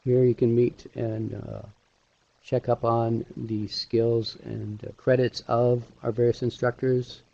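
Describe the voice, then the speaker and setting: A middle-aged man giving an informal online presentation as an instructor, speaking haltingly with pauses mid-sentence through a headset mic. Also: mid-range voice, little pitch movement, vocal fry, warm tone, no echo. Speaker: animation instructor hosting a webinar. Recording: dull, lo-fi webinar audio.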